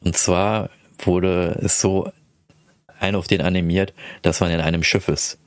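Man, low deep voice, dry close sound, casual storytelling, podcast host, acts out quoted lines. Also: steady tone, measured pace, no room sound. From Philippines. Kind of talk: casual anecdote storytelling in chat.

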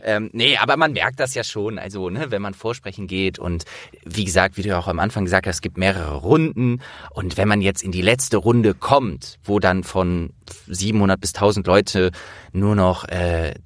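A man's voice: low-pitched, moderate pace, no echo, studio quality. A young man from the Rhineland in Germany, an actor hosting a casual interview, explaining things at length in a searching way.

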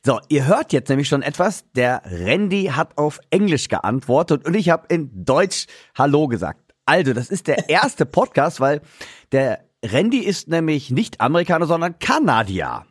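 A man's voice: a little high, big pitch swings, normal speed, husky, dry recording, studio quality. A man hosting a casual podcast interview, chatty and loose, with many fillers and restarts. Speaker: podcast host and drummer.

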